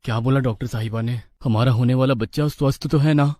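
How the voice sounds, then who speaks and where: A man's voice: mid-range voice, steady tone, quick pace, dark tone, lightly acted. A man playing an earnest, respectful young village husband and dutiful son. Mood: concerned, grateful.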